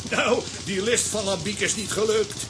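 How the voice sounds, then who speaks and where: A man's voice: high-pitched, moderate pace, loud music behind the voice, natural voice. An actor playing a smug, callous demolition foreman in a children's radio play, blustering and mocking.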